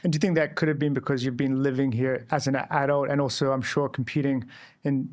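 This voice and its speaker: A man's voice: medium pitch, steady tone, fairly quick, darkish tone. A middle-aged man hosting a podcast interview, friendly and asking questions with lots of "kind of". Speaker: podcast host, company CEO. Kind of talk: casual interview chat.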